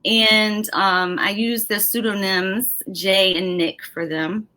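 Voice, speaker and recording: A woman in her forties with an American accent, speaking casually as an interview guest, unhurried and quick to laugh. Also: mid-range voice, steady tone, bright, forceful tone.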